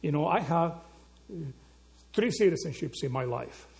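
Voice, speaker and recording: A male preacher with a gravelly, creaky edge to his voice. He sits slightly high for a man and works through his points with rhetorical questions, checking in with the congregation again and again. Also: expressive, normal speed, a little mellow. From Argentina. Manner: rhetorical questions, frequent "okay?" and "right?" check-ins. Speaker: pastor. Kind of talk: sermon.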